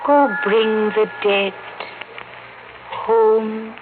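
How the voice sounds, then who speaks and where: A ghostly woman from an old radio horror show, giving eerie commands extremely slowly. Her voice is fairly high, through a muffled, noisy recording. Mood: eerie, commanding. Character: ghostly female apparition calling for her dead. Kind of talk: 1950s radio horror acting.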